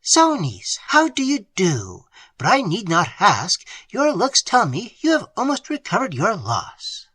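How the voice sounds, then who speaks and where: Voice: medium pitch, wildly swooping pitch, unhurried, a bit bright, slightly rough, no room sound, pitched above the performer's own voice, full character voice. A reader voicing a haughty, scolding middle-aged aunt in an audiobook, with a self-important, sneering manner. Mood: patronizing. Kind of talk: audiobook character voice.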